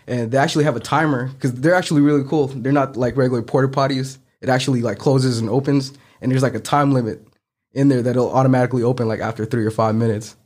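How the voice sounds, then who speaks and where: Easygoing male guest, thirties, from San Francisco, average pitch. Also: steady tone, quick pace, a little mellow. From San Francisco, United States. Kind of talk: casual podcast chat.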